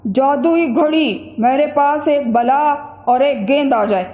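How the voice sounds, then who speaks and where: An eager young boy voiced by a woman from a children's moral tale. The voice is high and exclaiming, full of wishful excitement, with an echo around it. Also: slow pace. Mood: hopeful. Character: excitable, hopeful young boy who finds magic. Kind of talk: children's moral story character voice. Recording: roomy echo.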